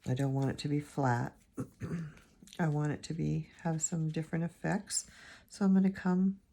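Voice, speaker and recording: Raspy, hoarse woman with a low voice. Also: dark tone. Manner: chatty, rambling, thinks aloud while painting. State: hoarse from allergies. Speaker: watercolor teacher. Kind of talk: casual painting tutorial. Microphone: phone camera.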